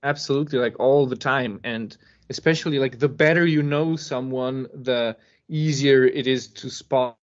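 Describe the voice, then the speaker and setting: A man explaining things casually as a podcast guest, with emphasis and pauses to find his words. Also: medium pitch, steady tone. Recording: dull lo-fi podcast audio.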